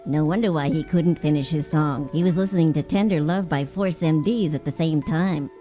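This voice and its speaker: A woman with a deep-set voice throws in sarcastic one-liners over a radio play. Music is faintly audible behind her. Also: moderate pace, mellow. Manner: sarcastic, quipping. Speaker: heckling commentator.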